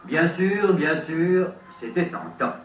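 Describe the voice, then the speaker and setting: A man narrating a scripted documentary in a 1950s French newsreel style, telling the story theatrically with dramatic pauses. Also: fairly high pitch, lively intonation, soft background music. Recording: old tinny band-limited film soundtrack, roomy, distant.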